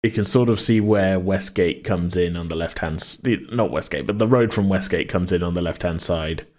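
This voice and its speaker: A man co-hosting a podcast in a casual worldbuilding chat, rambling as he thinks out loud. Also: sits low, normal speed. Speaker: podcast co-host.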